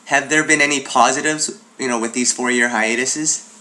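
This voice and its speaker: A man's voice: mid-range voice, fairly even intonation, moderate pace, pushed and bright. A man interviewing a singer, asking questions in a friendly, affirming way with fillers.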